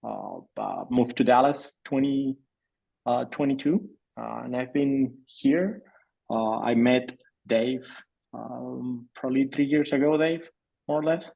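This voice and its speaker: A man's voice: medium pitch, slow, vocal fry, dark tone, dull audio. A man in his thirties from Venezuela, a native Spanish speaker, introducing himself in a friendly, hesitant way with many uhs. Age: 30s. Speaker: podcast guest.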